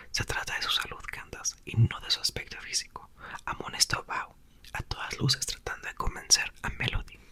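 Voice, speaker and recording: A young man softly whispering a book aloud for ASMR, with playful chatty asides. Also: extremely high pitch. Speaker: ASMR host reading aloud.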